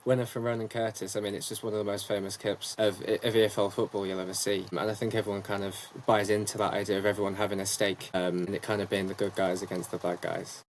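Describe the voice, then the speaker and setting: A man's voice: on the low side, little pitch movement, fast talker, hardly any pauses, a little hiss or hum, poor audio. A young man, a sports commentator and journalist, answering interview questions at length in an articulate, talkative way with frequent ums. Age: young adult.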